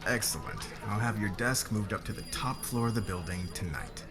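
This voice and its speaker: A man acts out a demanding male boss in an audio roleplay. His voice sits low and stays measured and commanding, with a formal polish. Music plays clearly underneath him. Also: close to an everyday voice. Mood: cool, commanding. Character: demanding, controlling fashion company boss, a man. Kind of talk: ASMR audio roleplay acting.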